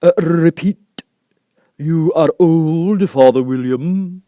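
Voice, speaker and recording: Languid, drawling, contemptuous caterpillar. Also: male voice, fairly high pitch, mellow, a little hiss or hum, pitched above the performer's own voice. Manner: very slow, drawn-out, curt delivery. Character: languid, sleepy, stern, contemptuous hookah-smoking caterpillar.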